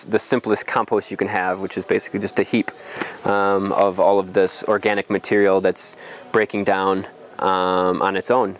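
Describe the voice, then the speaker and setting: A young adult man explains things in an informal outdoor tour, thinking aloud with some hesitation, on a lapel mic. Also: lowish voice. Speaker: farm guide. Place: outdoors on a farm.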